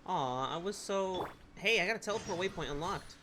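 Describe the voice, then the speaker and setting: A man's voice: sits high, animated, moderate pace, over music. A man doing a casual game playthrough, reading dialogue aloud and commenting on himself as he stumbles over words. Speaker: game streamer reading along.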